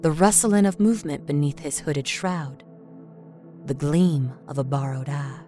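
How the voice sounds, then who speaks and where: A woman's voice: sits low, measured pace, mellow. A woman reading a scripted story as a dramatic storyteller. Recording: faint music bed.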